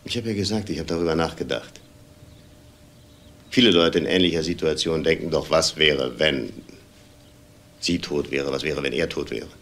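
An actor playing a weary, bitter middle-aged restaurant owner in a crime drama, speaking in a measured, deliberate way. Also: male voice, rumbling low, a bit bright, exaggerated voice. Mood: brooding. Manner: measured, deliberate, halting reflections. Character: weary, bitter unhappily married restaurant owner under suspicion. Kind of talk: naturalistic German TV crime drama acting.

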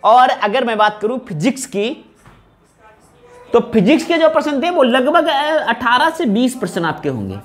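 A man's voice: extremely high pitch, big pitch swings, moderate pace. A man gives an informal explanatory lecture as a coaching teacher, often repeating phrases for emphasis. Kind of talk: informal exam analysis lecture to camera. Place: small indoor room, at a whiteboard. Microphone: wired lapel mic.

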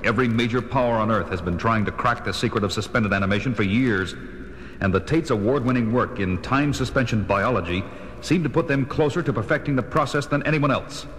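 An actor with an American accent playing a stern, resolute crime-fighting detective in a formal, measured way for a 1970s children's audio drama. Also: male voice, a bit bright, close to an everyday voice. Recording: noisy old vinyl record, background rumble.

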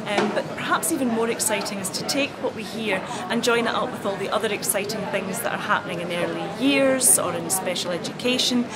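A middle-aged woman from Scotland with a Scottish accent, speaking to camera as a conference attendee. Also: mid-range voice. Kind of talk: personal address to camera. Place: indoors at a conference venue. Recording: loud crowd chatter behind.

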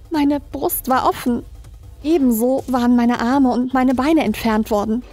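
A woman's voice: fairly high pitch, a little mellow. A woman reading scripted first-person horror narration as a creepypasta narrator. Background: background music.

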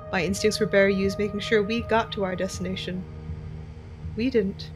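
A woman's voice: medium pitch, lightly acted. A woman playing a tense, watchful young intelligence agent, narrating her own story in an audio drama. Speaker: narrator and lead character. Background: music.

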